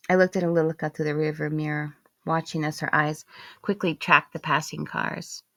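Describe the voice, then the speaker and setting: A woman reading scripted first-person literary narration in a measured, expressive way, with phrases falling away softly. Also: low-pitched, creaky, dry recording. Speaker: audiobook narrator and author.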